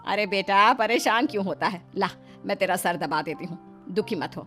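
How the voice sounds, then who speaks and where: A woman's voice: mid-range voice, normal speed, a bit bright, soft background music, natural voice. Woman voicing a fretful, affectionate older mother-in-law. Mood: soothing. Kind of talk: animated moral story dialogue.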